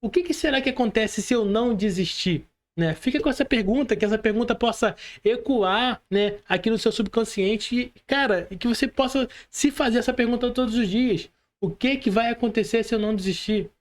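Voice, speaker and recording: A man's voice: very high-pitched, brisk, hardly any pauses. Earnest Brazilian man giving motivational talk. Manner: earnest, exhorting, colloquial with frequent check-in tags.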